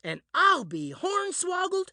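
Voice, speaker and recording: A woman's voice: extremely high pitch, wildly swooping pitch, slow pace, sharp tone, no room sound, clean studio sound, full character voice. A woman voicing a plucky little cowgirl granddaughter in a children's cartoon, folksy and exuberant with an American Western accent. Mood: curious, excited. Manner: folksy, exuberant cowboy twang. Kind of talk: children's cartoon fairy tale acting.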